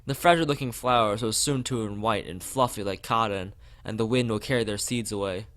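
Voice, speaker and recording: A man's voice: on the low side, brisk, a bit bright. A man from the United States, a let's play streamer, reading a visual novel aloud.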